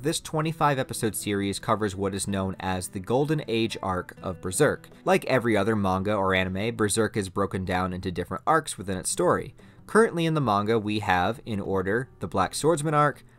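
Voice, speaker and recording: A man hosting a video essay, explaining things in an emphatic, conversational way with scripted commentary. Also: on the low side. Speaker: video host reviewing anime.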